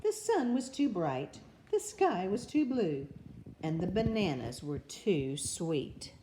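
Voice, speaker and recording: An older woman, a grandmother, reading a children's picture book aloud as an expressive narrator. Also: lowish voice, slow pace, noisy background.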